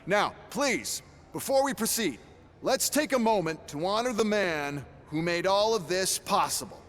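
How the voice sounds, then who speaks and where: An actor playing a smug, flamboyant corporate executive in a game cutscene, sarcastic, showy and condescending. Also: male voice, high-pitched, animated, a little slow, a bit bright, slight background noise. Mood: showy salesmanship. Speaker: executive and product presenter. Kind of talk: theatrical video game cutscene acting.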